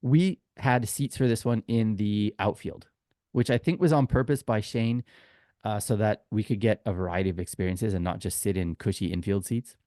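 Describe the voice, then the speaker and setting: Low-voiced man chatting in a dry, close recording. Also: moderate pace, warm tone, no echo. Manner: chatty, informal storyteller who acts out quoted exchanges. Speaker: podcast co-host and tour guide. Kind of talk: casual sports podcast chat, telling anecdotes.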